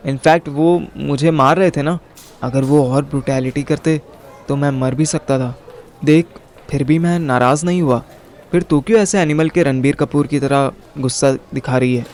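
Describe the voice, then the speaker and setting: A man's voice: on the high side, a little mellow, a little hiss or hum. A young man narrating an audio story in the first person in casual, slangy Hinglish, acting out his own dialogue. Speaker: narrator and lead character.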